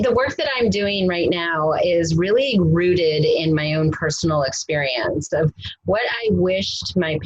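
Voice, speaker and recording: A woman's voice: low-pitched, dry recording, muffled recording. A woman answering interview questions as a guest, reflective and searching for her words. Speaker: interview guest, adoption professional.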